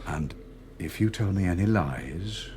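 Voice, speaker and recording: A middle-aged man acting a cold, controlled interrogator in a radio drama, measured, didactic and condescending. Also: low voice, expressive, noisy background. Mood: cold, threatening. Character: cold, controlled party interrogator and torturer, patronising and menacing.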